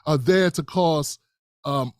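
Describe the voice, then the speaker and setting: Guy hosting a live stream, kinda high voice, lots of ums and restarts, dry close sound. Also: darkish tone, dry recording. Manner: measured, many fillers and restarts, calls guest brother. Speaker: host.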